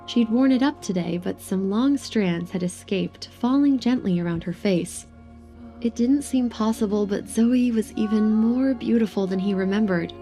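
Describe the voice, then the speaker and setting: A woman's voice: medium pitch, moderate pace, warm tone, soft background music. A woman narrator reading a scripted third-person romance story for an audio drama.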